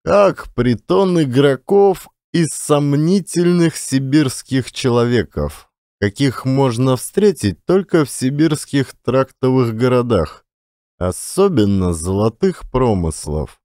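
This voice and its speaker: A man's voice: medium pitch, lively intonation, slow, mellow, no echo. Dry-sounding male audiobook narrator. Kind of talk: expressive literary storytelling.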